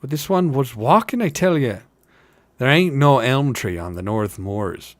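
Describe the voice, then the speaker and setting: A man's voice: medium pitch, expressive, unhurried, dry recording, pitched above the performer's own voice, close to an everyday voice. A man voicing a simple, earnest working hobbit in a storybook, with an Irish-style accent and a folksy, emphatic way of talking. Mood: earnest insistence. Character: earnest simple working hobbit, wonder-struck male tale-teller. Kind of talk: audiobook-style fantasy character reading.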